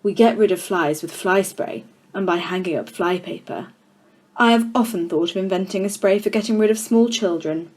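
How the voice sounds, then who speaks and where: A woman's voice: medium pitch, darkish tone, close to an everyday voice. Storybook villain: menacing, scornful school tyrant played by a woman reader, domineering and emphatic. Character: tyrannical, child-hating, menacing adult headmistress.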